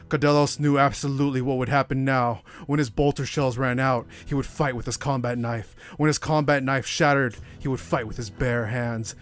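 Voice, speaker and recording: A man narrating a science fiction war story, reading dramatically in a measured, solemn storytelling manner. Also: mid-range voice. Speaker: audiobook narrator.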